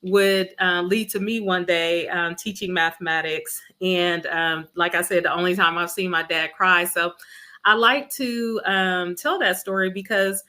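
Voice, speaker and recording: A woman's voice: fairly low pitch, fairly even intonation, a little slow, sharp tone. A woman from Mississippi, a school district academic officer, telling her story earnestly with a few fillers. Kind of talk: interview guest.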